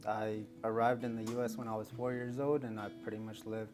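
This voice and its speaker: Relaxed young man, low voice. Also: brisk. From Mexico. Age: 20s. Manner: relaxed, many fillers like "um" and "like". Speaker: interview guest, student. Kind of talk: casual interview answers. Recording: guitar music playing underneath.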